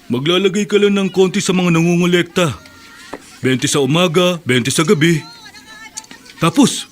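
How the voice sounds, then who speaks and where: An earnest young man who takes up street vending, played by a radio drama actor. He speaks with a slightly raised pitch and a plain, sincere manner over faint background noise. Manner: earnest, hawking loudly when selling.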